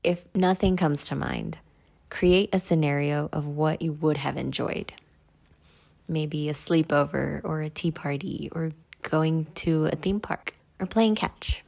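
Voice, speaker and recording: A woman leading a guided meditation in a measured, instructional way. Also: low-pitched, vocal fry, darkish tone.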